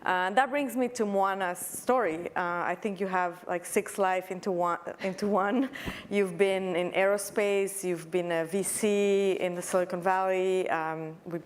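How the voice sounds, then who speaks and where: A woman's voice: on the low side, fairly even intonation, measured pace, few pauses. A woman moderating a conference panel, introducing guests and asking questions in a friendly, filler-laden way.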